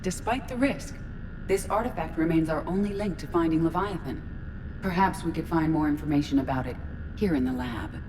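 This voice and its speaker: A woman voicing a calm, literal ship AI. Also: sits low, big-room sound, background noise, close to an everyday voice. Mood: precise. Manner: precise, measured, literal. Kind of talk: video game dialogue.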